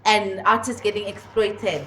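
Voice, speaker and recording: A woman's voice: medium pitch, steady tone, unhurried, bright, forceful tone, low-quality recording. A woman with a South African accent, a guest on a podcast, talking bluntly and laughing often. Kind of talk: casual chat. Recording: clear background noise.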